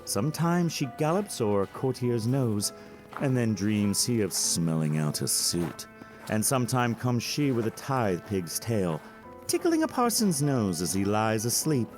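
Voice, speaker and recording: A witty, feverishly fanciful young man, played by a male actor in a Shakespearean audio play. He takes his time, teasing and theatrical, his lowish voice swinging widely in pitch as he spins a tale. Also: big pitch swings, unhurried, a little mellow, soft background music. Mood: impassioned, dark. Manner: theatrical, storytelling, swooping delivery.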